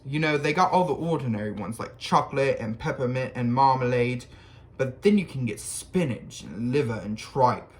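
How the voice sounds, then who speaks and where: A reader putting on a cheeky, offhand schoolboy, blunt and easygoing, with a lively rise and fall to the voice. It stays near the teller's own sound in this storybook reading. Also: medium pitch, moderate pace, close to an everyday voice.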